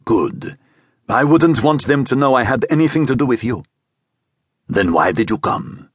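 A male narrator plays a conflicted younger man in an audiobook drama, easily swayed by stronger wills. His delivery is emotional and wavering, with a highly varied pitch and a dry, close sound. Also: very animated, moderate pace, slightly rough, no echo. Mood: wary, curt.

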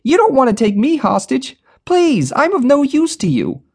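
A frightened, pudgy male bank manager in a storybook reading, voiced by a man, pitched up from the narrator's own voice. He begs and protests politely, his pitch jumping high and all over. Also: very animated, normal speed, darkish tone, breathy edge, heavily acted. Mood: protesting. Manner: pleading, nervous, polite.

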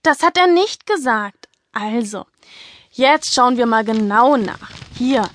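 A woman playing a patient, sensible female companion in a children's audio play, explaining things in a gently firm way. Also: a little high, expressive, slow, slight background noise.

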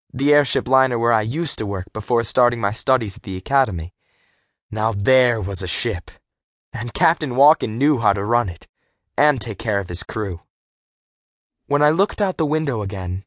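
A man narrating a first-person adventure story for young readers, expressive and clearly articulated, as a scripted audiobook. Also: mid-range voice, normal speed, no room sound, clean studio sound.

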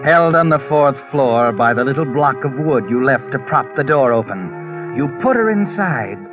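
An actor playing a knowing, sardonic narrator of a 1940s radio mystery, taunting and suspenseful. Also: male voice, medium pitch, lively intonation, moderate pace, close to an everyday voice. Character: sinister, knowing, all-seeing narrator taunting the schemer. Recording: muffled old radio recording, music behind.

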